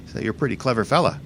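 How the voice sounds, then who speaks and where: Guy doing a crotchety bossy rich older man in a radio horror play, blustery n cowardly, really animated delivery. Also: medium pitch, a little hiss or hum, low-quality recording. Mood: gruff, self-satisfied. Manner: blustering, imperious, sputtering and stammering. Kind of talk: old-time radio horror acting.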